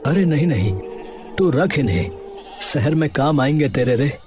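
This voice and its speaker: A man's voice: on the high side, lively intonation, moderate pace, natural voice. Kindly village man over prominent music actor. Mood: earnest. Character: honest, kindly village potter and elder brother. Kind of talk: Hindi children's cartoon voice acting.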